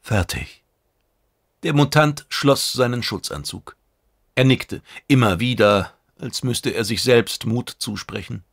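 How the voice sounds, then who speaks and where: A man reading a science fiction novel aloud, with measured, dramatic narration. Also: medium pitch, vocal fry, no echo, clean studio sound. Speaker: audiobook narrator.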